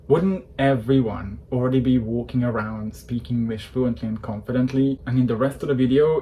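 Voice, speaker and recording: Man in his thirties, coach delivering a fired-up persuasive rant, mellow tone, faint hiss underneath. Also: medium pitch, low-quality recording. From Hungary. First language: Hungarian. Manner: passionate, persuasive, opinionated. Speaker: English coach. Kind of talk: direct-to-camera talk.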